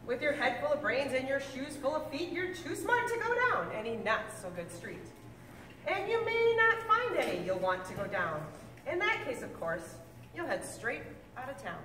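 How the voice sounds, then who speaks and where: A woman reading a picture book aloud with lively, expressive delivery, now and then stumbling and correcting herself. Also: normal speed, slight background noise. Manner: expressive storybook reading with big pitch swings. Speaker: reader. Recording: echoey room, mic at a distance.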